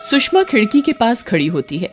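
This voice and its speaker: A woman's voice: a little high, sing-song, brisk, over loud music. A woman reading a scripted horror story as its narrator.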